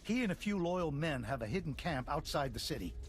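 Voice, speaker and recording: An actor playing an earnest leader of a besieged planet, speaking in a formal way. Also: male voice, fairly high pitch, big pitch swings, brisk, faint music behind. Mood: earnest, explanatory.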